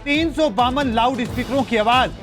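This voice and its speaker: A man's voice: extremely high pitch, moderate pace. A man reading scripted narration for a news report. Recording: music behind the voice. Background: news background music.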